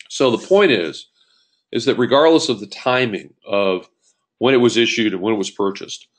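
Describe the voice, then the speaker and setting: A man giving an explanatory lecture as an accounting instructor, working step by step through an example and restating key points. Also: on the low side. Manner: step-by-step, rephrases key points, poses questions to himself.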